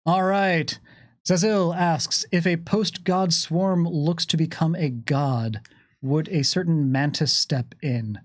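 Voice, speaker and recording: A man's voice: fairly high pitch, fairly even intonation, slow, no echo, studio quality. A man answering fan questions in casual, unscripted talk, mixing wordy academic phrasing with slang. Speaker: game developer answering fan questions on a stream.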